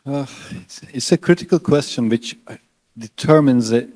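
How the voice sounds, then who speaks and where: A man's voice: medium pitch, normal speed, darkish tone, in a large hall. A man on an investor panel, explaining his fund's strategy earnestly with slightly non-native phrasing. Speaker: fund manager panelist. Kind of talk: panel discussion.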